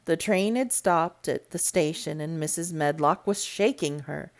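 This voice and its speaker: A woman narrates a storybook aloud, taking her time over each phrase. Her voice sits a little low and her delivery is measured and deliberate. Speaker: storybook reader. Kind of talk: read-aloud audiobook narration.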